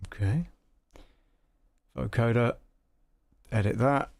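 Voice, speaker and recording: A man hosting a casual live audio software walkthrough, muttering to himself as he troubleshoots, with a gravelly, creaky voice. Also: medium pitch, slow pace, no echo. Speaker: livestream host demonstrating audio software.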